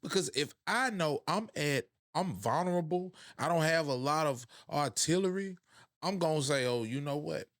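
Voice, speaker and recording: Hoarse man hosting a reaction video. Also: a little high, expressive, moderate pace, a bit bright, no room sound, clean studio sound. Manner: loose, conversational, slangy. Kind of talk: casual commentary.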